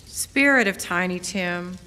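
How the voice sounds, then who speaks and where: A woman delivering measured, dramatic scripted narration in a staged story reading. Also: lowish voice. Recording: reverberant hall, loud background noise.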